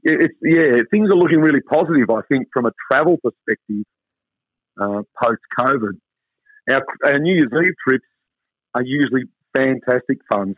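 Hoarse Australian man on a phone line. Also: medium pitch, no echo. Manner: upbeat, rambling, many fillers. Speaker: travel company owner. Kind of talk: casual interview chat.

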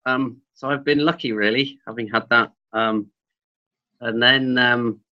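A man from England, a podcast guest, talking in a lively, upbeat way and chuckling now and then. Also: mid-range voice, unhurried, lo-fi sound. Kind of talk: podcast interview.

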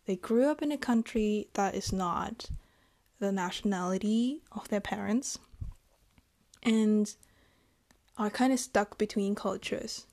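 Young woman vlogger from Germany, unhurried and reflective, pausing to find her words. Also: medium pitch. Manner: thoughtful, hesitant, halting phrasing. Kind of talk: reflective personal monologue.

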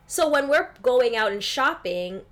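A woman's voice: high-pitched, expressive, a little slow, fairly bright tone. Woman chatting casually in an interview. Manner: informal, peppered with "like" and "you know". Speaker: interview guest, actress and film industry buyer.

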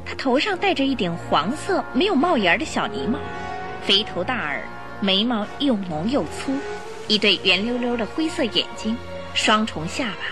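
A woman narrating a radio drama in an expressive, scripted storytelling style. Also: on the high side, moderate pace, music in the background.

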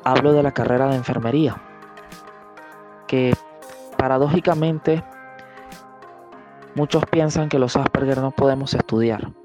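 A Venezuelan man in his mid-twenties speaks at a mid pitch with composed conviction. Soft music sits faintly beneath his recorded message. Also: unhurried, long pauses. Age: 20s. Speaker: nurse sharing a testimonial. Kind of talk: prepared testimonial message.

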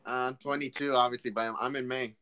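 A man in his twenties co-hosting a casual podcast chat, rambling and restarting his sentences, getting excited. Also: medium pitch, fast talker, clean tone. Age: 20s. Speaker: podcast co-host.

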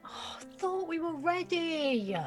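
A young woman acting an excitable, mouthy and insecure nineteen-year-old girl in an audio drama, with slangy, blurting speech. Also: very high voice, takes it slowly, faint music behind, exaggerated voice. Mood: anxious.

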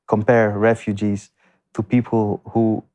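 A man with a fairly low voice talks through his answers at an unhurried pace. He stops and restarts often, leaning on fillers as he works out what to say. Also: level tone, dark tone. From came to the Netherlands as a refugee. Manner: halting, many restarts and fillers. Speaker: guest. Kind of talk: conversational interview answers. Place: lives in north Amsterdam.

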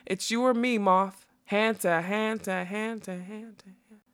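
A woman's voice: mid-range voice, normal speed, fairly bright tone, close to an everyday voice. A woman voicing a whimsical, scatterbrained male butterfly in a storybook, theatrical and rambling, given to bursts of song and quotation. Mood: manic, singsong. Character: whimsical scatterbrained male butterfly who sings and quotes nonsense. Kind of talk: acted audiobook storytelling.

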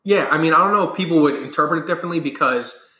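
Loud, high-energy man in an echoey room. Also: rapid-fire. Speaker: corporate trainer, guest. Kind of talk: casual interview conversation.

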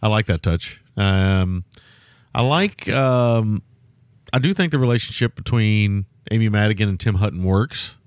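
A man co-hosting a film podcast, talking in a loose, rambling way with lots of fillers and restarts, and a loud voice. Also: on the low side, unhurried, clear, resonant tone, no echo, clean studio sound. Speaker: podcast co-host. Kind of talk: casual film-review chat.

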